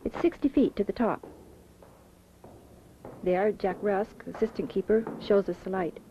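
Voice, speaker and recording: A woman giving calm, descriptive scripted travelogue narration. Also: medium pitch, measured pace. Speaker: documentary narrator. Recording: muffled old film soundtrack with clear hiss.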